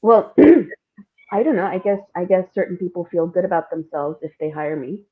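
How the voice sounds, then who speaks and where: Low-pitched candid woman on phone-like audio. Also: expressive, normal speed, husky. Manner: candid, informal, thinks aloud. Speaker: interview guest, playwright. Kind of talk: casual reflective interview talk. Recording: narrow, phone-like call audio.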